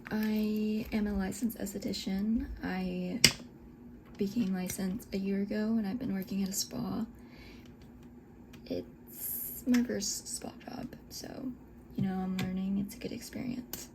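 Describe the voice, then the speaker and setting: A woman in her twenties, born in Scotland, chatting softly in a breathy half-whisper while doing her makeup at home, unhurried and full of little fillers. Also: mid-range voice, level tone, noisy background. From Scotland, raised in Texas. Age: 20s. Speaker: esthetician and beauty vlogger. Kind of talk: soft whispered get-ready-with-me chat.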